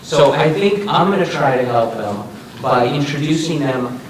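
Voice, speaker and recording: Guy from argentina chatting on a panel, voice a bit high, super echoey room. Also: moderate pace. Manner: informal, checks in with "right?". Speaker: comics marketer and panelist. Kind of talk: casual unscripted panel talk. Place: echoey room, recorded at a distance. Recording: very echoey room, distant mic, faint noise.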